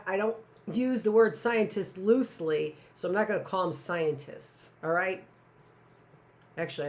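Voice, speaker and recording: A woman's voice: low voice, vocal fry. A middle-aged woman teaching a live online class, rambling with sarcastic asides and talking to herself.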